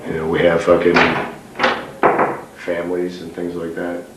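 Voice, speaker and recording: A man's voice: completely flat delivery, normal speed. A young man fronting a band, talking bluntly and with lots of swearing in a casual interview, taped from a distance in an echoey, noisy room. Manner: blunt, profane, says he has a very low voice.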